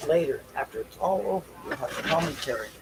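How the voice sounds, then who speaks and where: A man's voice: on the high side, lively intonation, fast, very noisy. A man hosting a livestream in rambling, unscripted talk, loosely talking things through to himself and repeating phrases. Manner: loose, talks to himself while setting up. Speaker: livestream host.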